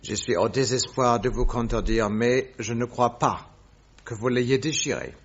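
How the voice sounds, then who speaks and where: An actor playing a suave, self-assured detective posing as a royal secretary in a French radio drama, husky, formal and courteous. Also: male voice, mid-range voice, a little slow. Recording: dull lo-fi radio recording.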